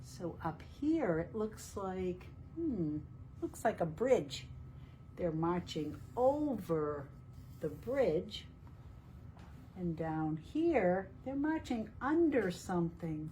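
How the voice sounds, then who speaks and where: A woman reading a picture book aloud to young children in a deliberate, teacherly way, asking questions as she goes. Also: lowish voice, sing-song, dark tone, slight background noise, poor audio. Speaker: children's storytime reader at a library.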